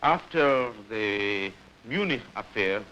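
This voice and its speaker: A man giving interview testimony in halting, broken English fragments, on dull old newsreel sound. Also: lowish voice, lively intonation, bright, forceful tone, slight background noise. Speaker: anonymous interviewee, former militant official.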